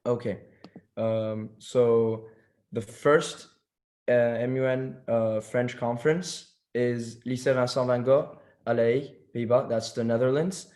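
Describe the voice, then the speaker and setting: A man's voice: medium pitch, steady tone, a little slow, a little mellow. Hesitant teenage boy presenting a workshop. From Turkey. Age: teens. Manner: hesitant, many fillers and restarts.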